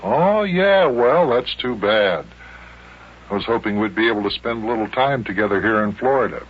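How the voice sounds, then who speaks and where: An actor playing a kindly, sensible guardian in an old-time radio serial, mild and gently chiding. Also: male voice, lowish voice, lively intonation, normal speed, breathy edge. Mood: friendly. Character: kindly, sensible grown man, patient guardian. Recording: muffled, slightly noisy old radio.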